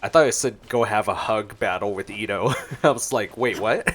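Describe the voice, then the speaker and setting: A man's voice: fairly low pitch, lively intonation, moderate pace, faint music behind. A man streaming gameplay with casual reaction commentary, sometimes reading dialogue aloud.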